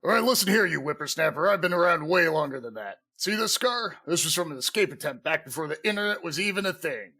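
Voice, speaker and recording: A man's voice: on the high side, expressive, fast talker, few pauses, pushed and bright, exaggerated voice. A man playing a cranky, boastful old gnome ranting combatively in a comedic audio drama. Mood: cranky bragging.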